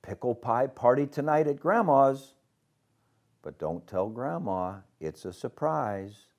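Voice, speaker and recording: A man's voice: medium pitch, animated, slow pace, darkish tone, dull audio, pitched above the performer's own voice. A man voicing eager young friends in a children's storybook, with simple, earnest delivery. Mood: excited, secretive.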